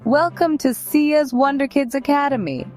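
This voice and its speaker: A woman's voice: high-pitched, moderate pace, a little mellow, faint music behind. A woman narrator hosting a children's story channel, reading a scripted tale for young listeners.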